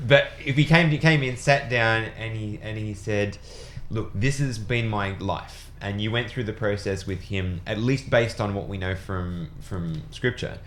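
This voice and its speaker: A man's voice: on the low side, a little slow, hardly any pauses. A man co-hosting a conversational discussion and putting questions to a guest. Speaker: co-host. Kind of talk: conversational interviewing. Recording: roomy echo, clear background noise.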